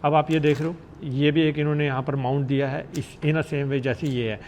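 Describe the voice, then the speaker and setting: A man's voice: on the high side, steady tone, a little fast, a little mellow, a little hiss or hum. A man giving a casual product review as a vlogger, in a conversational way with English words mixed in. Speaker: motorcycle vlogger reviewing gear.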